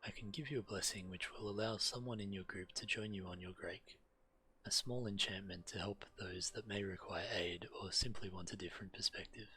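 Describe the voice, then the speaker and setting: A man with a low, clear-edged bright voice reads fantasy game text aloud as he streams. He slips now and then and corrects himself, adding casual comments. Faint noise sits behind him. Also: steady tone, fairly quick, low-quality recording.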